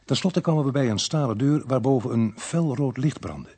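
A man playing a police officer who narrates a crime radio drama in a measured, suspenseful way. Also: mid-range voice, animated, mellow, husky, no echo. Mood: tense, measured. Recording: noisy archived radio drama recording.

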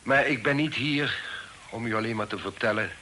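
An actor playing a secretive, authoritative middle-aged father in hiding in a period radio drama, speaking formally and deliberately. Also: mid-range voice, sharp tone, close to an everyday voice. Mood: guarded, persuasive. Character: secretive, authoritative fugitive father, stern and shrewd. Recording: noisy old radio drama recording.

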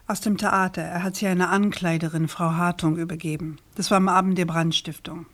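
An actress playing a persistent, composed investigative journalist in a radio crime drama, probing and articulate. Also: female voice, fairly low pitch, steady tone, fairly quick, lightly acted.

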